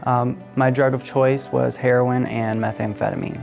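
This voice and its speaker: A man's voice: mid-range voice, fairly even intonation, normal speed, gravelly, darkish tone, soft background music. A man in his twenties in recovery, telling his story in a matter-of-fact way during an interview. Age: 20s. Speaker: person in recovery. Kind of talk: personal testimony interview.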